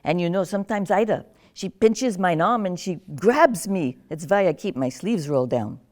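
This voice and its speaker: A woman's voice: lowish voice, lively intonation, normal speed, darkish tone, close to an everyday voice. Lady doing an old granny in a nursing home, teasing, chatty, voice goes up and down a lot. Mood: fearful. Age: older. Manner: gossipy, teasing, drifting into confusion. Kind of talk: one-woman stage monologue.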